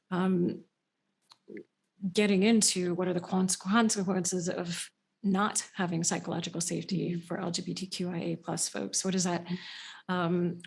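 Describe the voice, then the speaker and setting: A woman moderates a panel discussion with a low, gravelly voice that stays flat and even. She asks her questions hesitantly and fills gaps with ums. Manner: hesitant, with many fillers and restarts. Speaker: podcast host.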